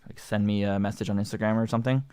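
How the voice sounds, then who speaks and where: Canadian dude, kinda low voice, rambly casual chat about singing, tons of filler words. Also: male voice, steady tone, rapid-fire, warm tone, clean tone. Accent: Canadian English. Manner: rambling and filler-heavy, lots of likes and ums. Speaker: singer and channel host. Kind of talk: casual livestream commentary.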